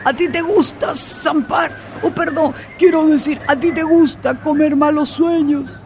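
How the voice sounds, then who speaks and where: A woman's voice: medium pitch, unhurried, darkish tone, slight background noise, close to an everyday voice. Woman doing a fretful, stammering old king. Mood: frightened. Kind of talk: storybook character voice.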